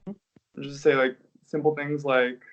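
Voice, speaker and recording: A man's voice: medium pitch, measured pace, a little hiss or hum. Laid-back young man, muffled audio. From United States. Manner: relaxed, hedging with "like" and "I guess". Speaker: interview guest, English teacher. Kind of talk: casual interview answers.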